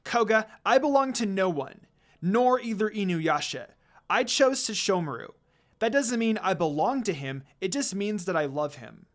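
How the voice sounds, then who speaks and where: A reader as firm, outspoken young heroine, very expressive. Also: mid-range voice, normal speed, lightly acted. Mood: defiant. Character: firm, outspoken young woman, kind but easily exasperated.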